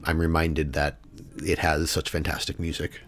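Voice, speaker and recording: Deep-voiced man, casual host. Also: little pitch movement, normal speed, fairly bright tone. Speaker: podcast host. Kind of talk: casual chat. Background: music.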